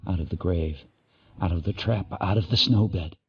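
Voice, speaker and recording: An actor playing a grim, watchful sled dog who narrates his harsh life in spare, deliberate sentences for a radio play. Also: low-pitched, highs cut off. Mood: hushed, bleak. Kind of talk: first-person dramatic narration in a radio play. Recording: faint background noise.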